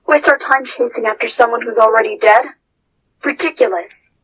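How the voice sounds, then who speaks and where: A woman's voice: a little high, moderate pace, fairly bright tone, slight background noise, lightly acted. A woman voicing royal pony princess sisters in a fan story, with formal, dramatic delivery. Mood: scornful. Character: two royal pony princess sisters, one scornful, one earnest.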